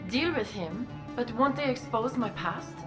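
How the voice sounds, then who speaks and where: An actress playing a worried clinic doctor in a video game, earnest and polite. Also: female voice, a little high, animated, unhurried, music in the background. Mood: anxious. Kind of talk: video game character acting.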